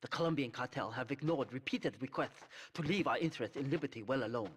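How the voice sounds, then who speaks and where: An actor playing a stern, honor-bound Japanese crime boss in a video game, speaking formally and haughtily. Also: male voice, mid-range voice, fast talker, slightly rough, close to an everyday voice. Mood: solemn, commanding. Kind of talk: video game cutscene acting.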